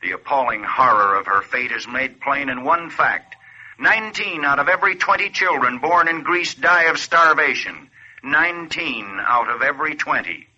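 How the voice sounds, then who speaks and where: Muffled vintage radio: grave announcer, very bright and forceful, solemn declamation. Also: male voice, medium pitch, lively intonation, normal speed. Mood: somber indignation. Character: grave, forceful male wartime appeal announcer. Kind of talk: 1940s dramatic radio narration.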